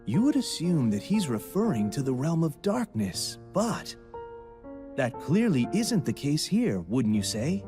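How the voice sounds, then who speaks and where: A man's voice: on the high side, sing-song, unhurried, music in the background. An actor playing a studious, composed young male researcher in a video game, precise, formal and analytical. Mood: thoughtful.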